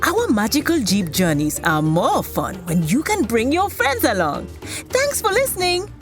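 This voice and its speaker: A woman hosting and telling a scripted children's story, expressive and speaking directly to young listeners. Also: fairly high pitch, unhurried, faint music behind. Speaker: host and storyteller of a children's podcast.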